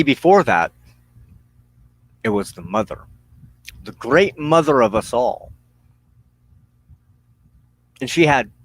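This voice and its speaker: A man giving a spiritual teaching monologue as a broadcast host, solemn and deliberate, speaking in halting phrases with pauses. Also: medium pitch, vocal fry, breathy edge, poor audio. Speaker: religious teacher hosting a broadcast on witchcraft.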